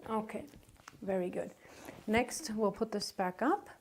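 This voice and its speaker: A woman conducting a medical exam, giving calm, polite step-by-step instructions with short acknowledgements. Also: mid-range voice, a little slow, a little hiss or hum.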